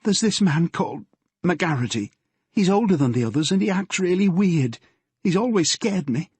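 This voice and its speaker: Audiobook figure: male narrator voicing a scared teenage girl, pitched high, breathless, husky. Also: lively intonation, moderate pace, darkish tone, dry recording. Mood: anxious. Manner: shaky, pleading, breathless. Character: frightened tearful teenage girl, a policeman's daughter.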